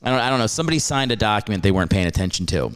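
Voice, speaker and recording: A male podcast host talks in a relaxed, rambling way, with casual swearing. His voice is lowish and smooth. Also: very fast.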